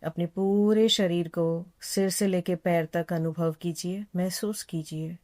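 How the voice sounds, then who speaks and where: Low-pitched woman guiding meditation, calm and unhurried. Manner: calm, unhurried, soothing, with repeated phrases. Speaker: yoga nidra instructor. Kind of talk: slow guided meditation instruction.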